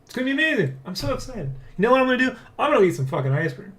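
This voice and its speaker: A man hosting a development blog in casual, excitable, rambling chat, jokily boastful and swearing freely. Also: very high-pitched, very fast. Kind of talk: casual vlog chat, addressing viewers.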